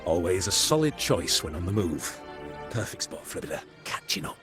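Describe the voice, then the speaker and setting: An actor playing a busy, resigned crime-family agent in a video game, casual and matter-of-fact. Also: male voice, sits low, big pitch swings, normal speed. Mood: casual, brisk. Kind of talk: scripted video game dialogue. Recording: loud music behind.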